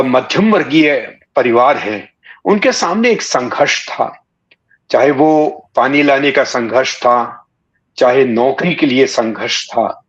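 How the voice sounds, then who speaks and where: A man in his sixties from Bikaner in Rajasthan, reminiscing in an interview with halting, deliberate phrasing. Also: mid-range voice. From Bikaner, Rajasthan, India. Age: 60s. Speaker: interview guest, writer and satirist. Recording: dull, muffled lo-fi audio.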